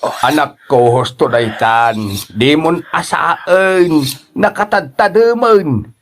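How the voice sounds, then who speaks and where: A man with a Filipino accent playing a gentle, fretting elderly parent in an audio drama. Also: a little high, slow. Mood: concerned.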